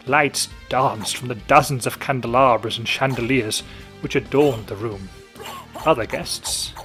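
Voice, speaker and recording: A man's voice: medium pitch, a bit bright. A man narrating a story with dramatic, playful spooky storytelling.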